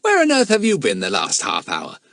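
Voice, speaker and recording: A man's voice: mid-range voice, wildly swooping pitch, moderate pace, no room sound, studio quality, voice pushed up. A man voicing a cheery boy who chatters on in a storybook reading. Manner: bright, chattering.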